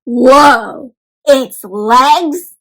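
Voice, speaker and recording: A woman doing an animated, interactive picture book read-aloud for children, full of questions to the listeners. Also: high-pitched, extremely slow, rough recording.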